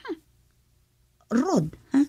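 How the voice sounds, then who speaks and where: An actress playing a vain, doubting middle-aged wife in an Ilocano radio drama, with a husky, breathy voice. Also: female voice, high-pitched, sing-song, drawn-out words, warm tone, dry recording, studio quality, full character voice. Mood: doubtful.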